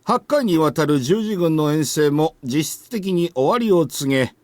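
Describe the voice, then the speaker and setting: A man's voice: a little high, animated, fairly quick, a little hiss or hum, lightly acted. A man playing a history teacher solemnly lecturing a class in a visual novel. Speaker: voice actor. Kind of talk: Japanese visual novel voice acting.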